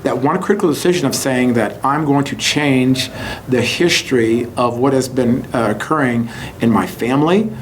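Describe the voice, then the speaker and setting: A man from Texas telling his own story as an interview guest, halting and repeating phrases for emphasis. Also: mid-range voice. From Houston, Texas, United States. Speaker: interview guest, entrepreneur and author. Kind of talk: conversational personal storytelling in an interview. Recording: clearly noisy background ambience.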